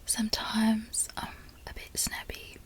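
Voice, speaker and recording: A woman whispering close to the microphone in a put-on Australian accent, chatting in a soft, unhurried way for an ASMR product review. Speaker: ASMR creator. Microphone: close sensitive microphone.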